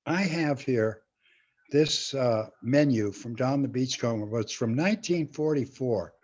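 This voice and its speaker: A man's voice: medium pitch, unhurried, darkish tone. An older man telling a casual, rambling show-business anecdote, acting out the quotes as he goes. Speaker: storyteller, show-business veteran. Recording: dull, lo-fi sound.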